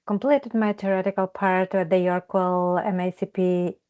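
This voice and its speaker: A woman from Ukraine introducing herself to a group over a video call, halting and searching for words. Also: on the low side, steady tone, a little mellow, smooth. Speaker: counseling student. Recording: dull lo-fi video call audio.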